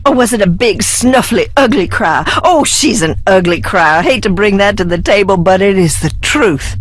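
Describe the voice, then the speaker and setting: An actress playing a bitter, mocking, unstable young heiress in hiding, with theatrical delivery in a video game. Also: female voice, mid-range voice, expressive, moderate pace, lightly acted. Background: background music.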